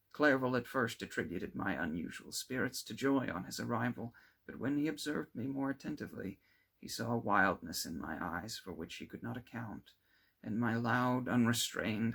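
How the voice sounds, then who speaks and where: A man reading a classic novel aloud as an amateur narrator, with expressive, theatrical emphasis. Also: medium pitch, creaky, breathy edge. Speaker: amateur audiobook reader. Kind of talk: dramatic first-person reading of a classic novel.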